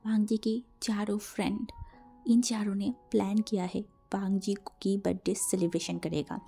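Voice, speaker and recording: An Indian woman narrates in a casual, chatty way, like a channel host talking to followers. Her voice is mellow and gravelly, hoarse from a cold. She repeats words for emphasis, with music playing underneath. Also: mid-range voice, little pitch movement, normal speed. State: sore throat from a cold. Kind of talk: casual spoken fan fiction narration.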